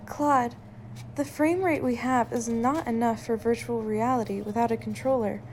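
A woman playing a caring, sensible scientist, wife and mother in an audio drama, with a gentle, earnest and expressive delivery. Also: fairly high pitch, normal speed, a little hiss or hum, natural voice. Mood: doubtful.

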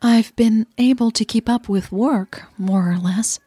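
A woman who is a native Dutch speaker, voicing a shy but playful, proud girlfriend in an audio story, softly earnest. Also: on the high side, measured pace, slightly rough, dry recording, studio quality, voice pushed up. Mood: earnest, uneasy.

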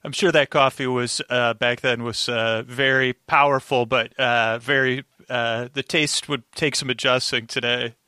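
A man's voice: medium pitch, steady tone, a little slow, hardly any pauses, pushed and bright, smooth, no room sound, studio quality. A man as a podcast guest, chatting casually with a wry manner and slipping into mock dialogue. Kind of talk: casual chat.

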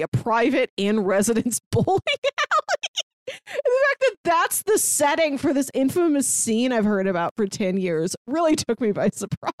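A woman's voice: a little high, no room sound, clean studio sound. A woman co-hosting a podcast, chatting casually about movies in articulate, wordy phrases. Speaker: podcast co-host. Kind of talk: casual movie review chat.

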